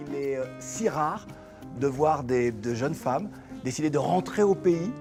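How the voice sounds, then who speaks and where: A man talks as a talent show coach, earnest and admiring, his pitch rising and falling. He sounds a little distant, with music playing behind him. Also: lively intonation, unhurried. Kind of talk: admiring comment.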